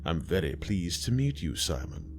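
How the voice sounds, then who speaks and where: A cold, sneering masked man , as a narrator voices him, who speaks smooth, formal words laced with contempt. His voice is deep and low. Faint music plays behind. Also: very animated, normal speed.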